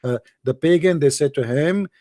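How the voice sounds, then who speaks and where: A man's voice: a little high, normal speed, mellow, no echo. A man hosting a heated call-in debate, his voice tired and dry, combative and repeating himself. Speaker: debate show host.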